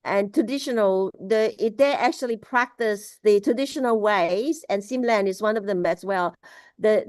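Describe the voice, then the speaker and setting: A woman from Laos giving a casual spoken Buddhist teaching over a video call, halting, with many restarts and fillers. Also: medium pitch, clear, resonant tone, dry recording. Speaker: Buddhist speaker.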